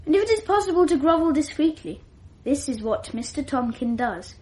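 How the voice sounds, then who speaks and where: A precocious English schoolboy. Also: female voice, high-pitched, lively intonation, brisk, darkish tone. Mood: reading aloud. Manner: precocious, wordy and self-deprecating. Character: clever, self-assured twelve-year-old English schoolboy. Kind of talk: 1980s radio drama acting.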